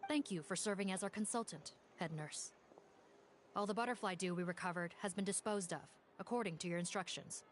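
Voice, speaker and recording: A woman playing a dutiful, respectful police officer in a video game, with measured, explanatory delivery. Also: fairly low pitch, slight background noise, close to an everyday voice. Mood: businesslike.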